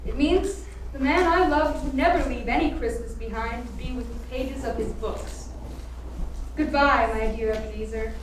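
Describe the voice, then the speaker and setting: Heartbroken young sweetheart a woman acting, high voice, earnest radio drama, very reverberant distant recording. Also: normal speed, lightly acted. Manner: earnest, tender. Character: tender, heartbroken young sweetheart leaving her love. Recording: distant, very echoey room, faint noise.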